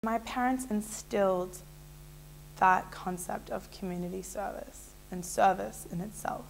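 Young woman with a gravelly voice. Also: medium pitch, unhurried, a little hiss or hum, poor audio. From Israel. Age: young adult. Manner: casual, rambling, with many fillers and restarts. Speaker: interviewee. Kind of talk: candid personal storytelling. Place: small indoor room with a plain wall.

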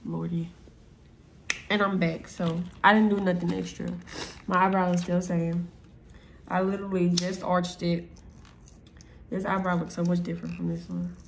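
A woman's voice: on the low side, level tone, moderate pace, gravelly, pauses often, a little hiss or hum. Stuffy-voiced young woman chatting through a makeup routine. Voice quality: stuffy, nasal. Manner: blunt, chatty, profane, rambling. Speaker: vlogger. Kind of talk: casual makeup tutorial vlog.

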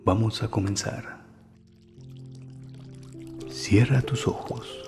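Slow, low-voiced man guiding meditation. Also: steady tone, vocal fry. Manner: soothing, unhurried instruction. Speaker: guided meditation host. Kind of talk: guided meditation narration. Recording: voice over music and water sounds. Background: relaxation music, running water.

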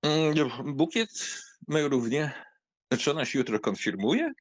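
A man's voice: a little high, very animated, measured pace, dry recording. A man playing a bored young aristocratic count in an audiobook drama, languid and dry, with hesitant hums. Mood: bored, indifferent. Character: bored, nonchalant young aristocrat count humouring his aunt.

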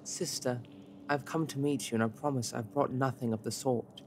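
A man's voice: mid-range voice, steady tone, normal speed, slight background noise. A man playing on a tabletop podcast, talking casually and playfully, in and out of character. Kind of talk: casual chat and in-character play.

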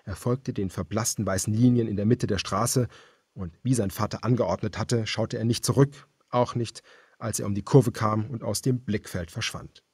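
Man, audiobook narration, lowish pitch, husky, grave, measured, dramatic, dry studio-like sound. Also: steady tone, a little mellow, dry recording. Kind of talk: scripted dramatic narration of a thriller.

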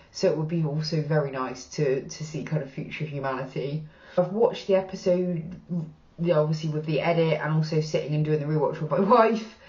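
A frank, chatty woman in her thirties with a Bristolian accent talks through her thoughts on a show, swearing now and then. Her voice sits fairly low. The room around her rings a little, and the sound is slightly dull. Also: a little mellow, echoey room. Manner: chatty and frank, casual swearing, gestures while talking. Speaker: reaction channel host. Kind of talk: casual reaction commentary. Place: small plain room indoors.

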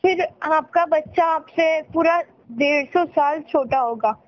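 A woman's voice: sits high, takes it slowly, poor audio. A young woman with an Indian accent chatting casually with a playful, dramatic, pouty teasing manner. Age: young adult. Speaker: girlfriend on a phone call. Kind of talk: casual playful couple chat.

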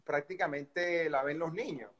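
A middle-aged man with a Venezuelan Spanish accent, a baseball coach as guest, recounting his career in a relaxed, rambling way over a video call. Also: fairly high pitch, a little slow. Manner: relaxed storytelling with many fillers and restarts. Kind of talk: casual interview storytelling. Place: indoor room by a window. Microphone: video call webcam.

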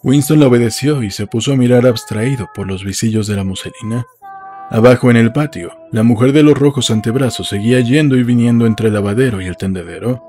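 A man reading literary narration for an audiobook in a measured storytelling manner. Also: mid-range voice, dark tone, soft background music.

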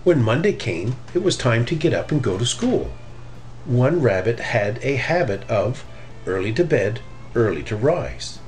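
A middle-aged man reading a children's story aloud in an expressive, deliberate way. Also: medium pitch, soft background music, low-quality recording. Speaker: storybook reader.

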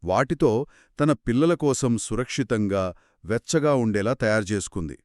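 A man narrates a story for children as if chatting with a partner. His medium-pitched voice moves up and down with great animation and expressive exclamations. The recording is dry, with no room sound. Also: moderate pace, no echo. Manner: expressive, reacts with exclamations and short questions. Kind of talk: conversational children's storytelling dialogue.

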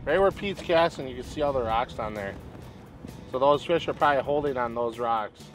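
A man's voice: medium pitch, brisk, faint music behind, poor audio. A man chatting casually and with many fillers while fishing from an open boat on a lake. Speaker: angler on a fishing show.

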